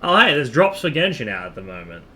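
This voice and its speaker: A man chats casually as he plays a game on a live stream. His voice is bright and very animated in pitch, wandering as he thinks out loud and swearing offhandedly. There is some noise behind him. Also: fast talker. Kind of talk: casual live stream chat while gaming.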